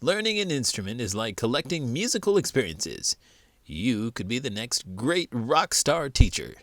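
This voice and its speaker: A man's voice: medium pitch, very animated, normal speed, no room sound, voice pushed up. A man voicing a cheerful talking horse puppet in a playful, encouraging children's skit. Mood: upbeat encouraging.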